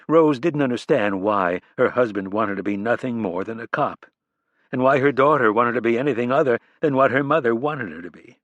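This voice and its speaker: Male narrator, mid pitch, even measured reading, dry close mic. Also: no echo. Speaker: audiobook narrator. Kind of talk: scripted narration.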